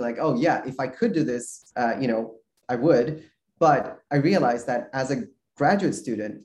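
A man's voice: medium pitch, a little mellow, reverberant. A man from Sweden, a guest on a video call interview, talking casually with many fillers and restarts. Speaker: guest researcher.

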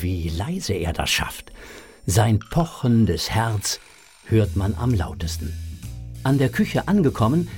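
A man narrating a scripted children's audio drama in a measured, suspenseful way. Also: mid-range voice.

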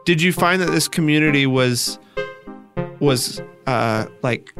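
A man's voice: a little high, slow pace, music in the background. A man hosting a podcast, interviewing and narrating in a documentary style. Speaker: podcast host.

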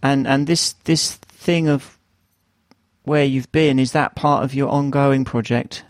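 A man's voice: medium pitch, fairly even intonation, takes it slowly, dry recording, studio quality. A man hosting an interview podcast, asking questions in a rambling, casual way with many restarts. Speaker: podcast host.

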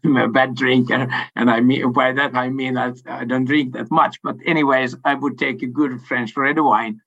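A man's voice: mid-range voice, flat delivery, moderate pace, gravelly, fairly bright tone, dull audio. A middle-aged man from Finland whose first language is Swedish, answering interview questions in a hesitant way with many fillers and frequent laughs. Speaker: sales coach and company owner.

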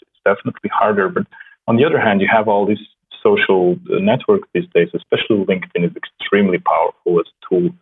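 A man with a Slovenian accent, a startup co-founder chatting in a soft-spoken, halting way with many restarts. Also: deep voice, a little hiss or hum. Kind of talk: casual conversation. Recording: tinny phone-like line.

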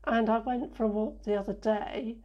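A woman from England answering interview questions in an unhurried, reflective way, with many fillers and restarts. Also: fairly high pitch, fairly even intonation, highs cut off.

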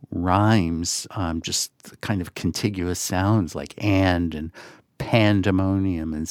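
A man with a very low voice and plenty of vocal fry discusses literature in a reflective way. He is thoughtful and a little hesitant, reworking his phrases as he goes. The sound is very dry and close. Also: dry recording. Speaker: magazine editor and podcast host.

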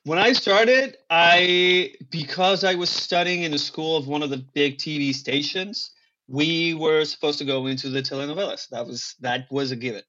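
A man whose first language is Spanish, a guest talking casually about acting and languages in an animated, emphatic way. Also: a little high, moderate pace, highs cut off. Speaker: guest, actor. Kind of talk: casual interview talk.

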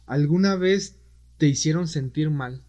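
Guy hosting a podcast, chatty, kinda high voice, muffled audio. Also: unhurried. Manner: friendly, conversational, wraps up warmly. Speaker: podcast host. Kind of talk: podcast interview. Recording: dull lo-fi audio.

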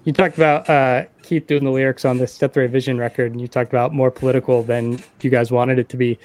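A man's voice: medium pitch, flat delivery, fast talker, darkish tone. Man hosting a podcast. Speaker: podcast host. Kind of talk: casual interview chat.

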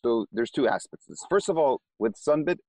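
A man's voice: medium pitch, animated, normal speed, darkish tone, no echo. A man with an Israeli accent, a company co-founder, explaining his business in an interview and often repeating words as he starts sentences.

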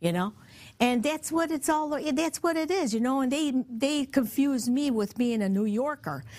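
Utica woman with an upstate New York accent, animated storyteller who mimics other people. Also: high-pitched, moderate pace. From East Utica, upstate New York. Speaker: guest, mother of the host. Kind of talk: casual chat, storytelling.

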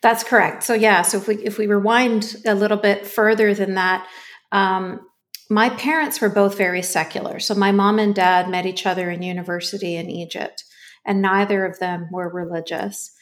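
A woman's voice: medium pitch, fairly even intonation, moderate pace. A woman born and raised in Canada, a guest author in a podcast interview, telling her story in an earnest, grateful way.

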